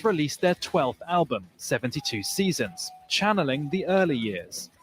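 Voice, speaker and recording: A man's voice: a little high, big pitch swings, moderate pace, low-quality recording. A man narrating a scripted news report as a reporter.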